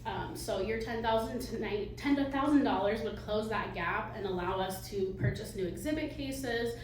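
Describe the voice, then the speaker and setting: A soft-spoken woman, a museum director, giving a live fundraising pitch with nervous little laughs and many ums. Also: normal speed, a little hiss or hum. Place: meeting hall with audience. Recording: echoey hall from far off.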